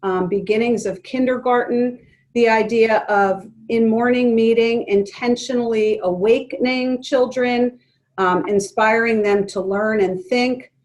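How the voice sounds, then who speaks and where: A woman leading an online workshop for educators, speaking calmly and gently with frequent ums. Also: a little high. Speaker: presenter leading an educators' forum. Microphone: video call.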